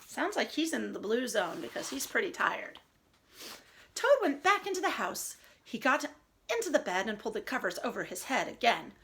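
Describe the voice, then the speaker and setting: A woman teacher reading a story aloud and giving simple instructions to young children, expressive and explanatory. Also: a little high, moderate pace, gravelly.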